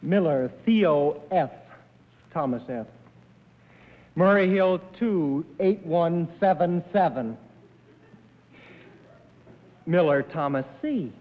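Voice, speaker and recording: A young man playing an angry character in a dramatic reading on 1950s television, with emphatic, halting delivery. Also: a little high, lively intonation. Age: young adult. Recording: muffled narrow-band 1950s TV, reverberant hall.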